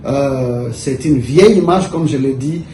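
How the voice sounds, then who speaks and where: A man giving a statement to the press, deliberate and pausing with fillers. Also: mid-range voice, dark tone. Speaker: member of parliament. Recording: echoey room with background noise.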